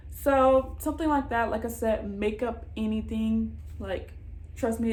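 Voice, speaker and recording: A woman's voice: mid-range voice, a little mellow, a little hiss or hum. A young American woman chatting casually as she gives advice, in an informal, reassuring way. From Southern United States. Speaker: vlogger giving job advice. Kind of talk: casual advice chat to camera.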